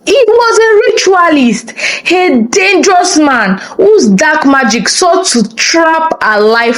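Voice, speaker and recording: A woman narrating a scripted folktale in a dramatic, expressive and deliberate storytelling style. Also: high-pitched, poor audio.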